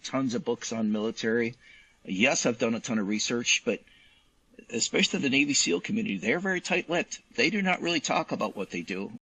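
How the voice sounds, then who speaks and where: A man speaking as an interview guest in casual conversation, explaining details in an animated, colloquial way. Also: mid-range voice, a little fast, husky, low-quality recording. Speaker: guest, physician and novelist.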